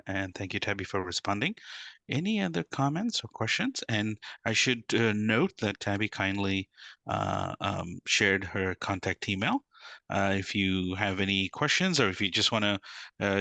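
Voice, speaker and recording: A man's voice: lowish voice, big pitch swings, moderate pace, creaky, pushed and bright, no echo. A man moderating an academic talk, politely thanking the speakers and inviting questions. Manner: polite, formal, with frequent uhs.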